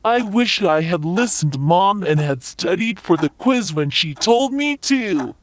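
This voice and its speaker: A man voicing a cocky, expressive young schoolboy in a children's cartoon story. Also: high-pitched, measured pace. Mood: remorseful. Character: Bob, cocky young schoolboy who loves video games.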